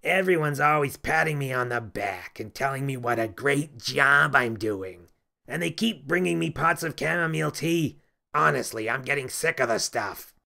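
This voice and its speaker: Miserable Christmas elf for kids' audiobook. Heavy fry, bright edge, stern grumbling, sneering. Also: male voice, mid-range voice, lively intonation, moderate pace. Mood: complaining. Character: miserable, grumpy, pessimistic little male Christmas elf. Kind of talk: children's audiobook character voice.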